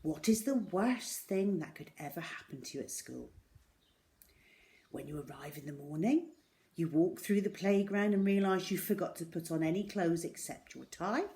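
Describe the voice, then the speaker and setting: A woman reading a children's story aloud in an expressive, dramatic way, with deliberate pauses. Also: on the low side.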